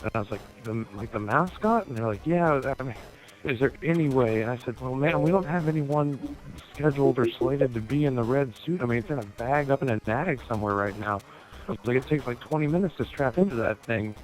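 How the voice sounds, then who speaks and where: A man's voice: mid-range voice, brisk, poor audio. A man telling a casual comic anecdote while playing a game, swearing freely and acting out both sides of conversations. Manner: sweary, animated retelling with acted-out dialogue. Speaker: storyteller in an online gaming video. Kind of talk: casual comic anecdote told over gameplay.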